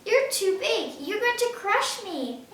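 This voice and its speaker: A woman's voice: very high voice, big pitch swings, slow pace, a bit bright, pitched above the performer's own voice, exaggerated voice. A ten-year-old girl voicing a fussy, grumbling but kind little male animal in a storybook, exclaiming and scolding. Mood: annoyed, scolding. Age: child, about ten. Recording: echoey room, distant mic, faint noise.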